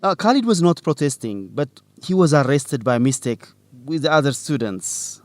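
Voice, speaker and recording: A man's voice: a little high, animated, normal speed, no room sound, lightly acted. An actor playing a young male student newsletter reporter in a radio drama for English learners, earnest, hesitant and careful in his speech. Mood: worried, apologetic. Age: young adult.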